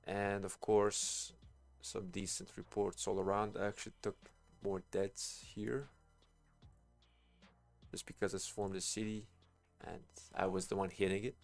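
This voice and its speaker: A man's voice: fairly low pitch, little pitch movement, a little slow, gravelly, soft background music. A man giving casual, rambling game commentary as a live streamer, thinking aloud in informal language. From Bosnia and Herzegovina.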